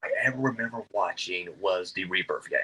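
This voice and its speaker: A man's voice: low voice, a little fast, sharp tone, husky, low-quality recording. A man from Alabama, answering as a sports fan guest in a brief, matter-of-fact way. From Alabama, United States. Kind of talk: casual sports interview answers.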